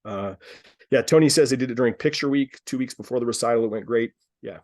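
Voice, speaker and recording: A man's voice: medium pitch, quick pace, darkish tone, dry recording, studio quality. A man hosting a live group coaching call, upbeat and persuasive, who repeats himself and calls on callers by name. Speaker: dance studio business coach, call host. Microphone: video call.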